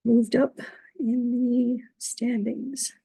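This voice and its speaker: Woman quiz moderator, fading husky voice, gravelly, mellow tone, dry close sound. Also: fairly high pitch, little pitch movement, dry recording, rough recording. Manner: upbeat, reading standings, halting on invented words. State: losing her voice, clearing her throat. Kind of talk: reading quiz questions and scores aloud.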